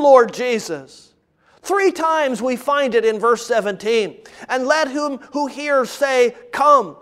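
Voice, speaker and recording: A male preacher delivers an expository sermon in a strikingly high voice. He speaks at a slow, measured pace. He leans on key phrases with emphasis, repeating them deliberately.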